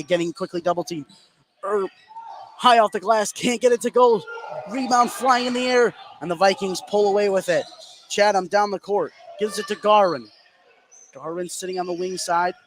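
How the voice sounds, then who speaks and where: Higher-pitched man calling basketball over gym noise. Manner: excitable on big plays, short clipped phrases. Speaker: play-by-play radio announcer. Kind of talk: live basketball play-by-play commentary. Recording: clear gym noise behind the voice. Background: basketball game ambience, bouncing balls.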